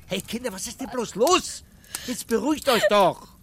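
A man's voice: very high-pitched, animated, normal speed, heavy background noise. An actor playing a kindly middle-aged country man, earnest and plain-spoken, weighed down by grief and guilt in a radio play. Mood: soothing. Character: kindly grieving rural man burdened by guilt.

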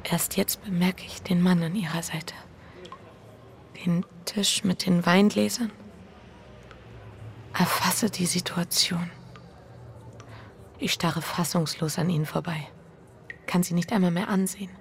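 An actress playing an anxious, guilt-ridden wife narrating a radio drama in an intimate, breathy, introspective way. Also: female voice, lowish voice, fairly even intonation, a little hiss or hum. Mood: shaken, fixated. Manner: introspective, hushed inner monologue with halting dialogue. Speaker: lead actor and first-person narrator.

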